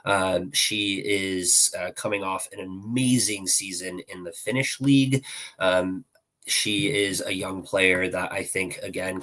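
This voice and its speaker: A man's voice: low voice, sharp tone, rough recording. A man giving earnest hockey analysis as a podcast guest, hedging often and talking over a video call. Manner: earnest, hedges often with "I think".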